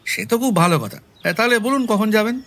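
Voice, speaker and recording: An actor playing a polite, obliging police officer in an audio drama. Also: male voice, high voice, quick pace, fairly bright tone. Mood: eager, agreeable.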